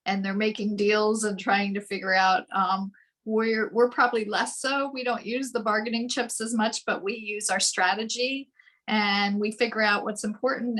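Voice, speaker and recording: A woman's voice: medium pitch, fairly bright tone, low-quality recording. A woman legislator speaking on a video call panel, encouraging and often restarting her sentences. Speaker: state legislator.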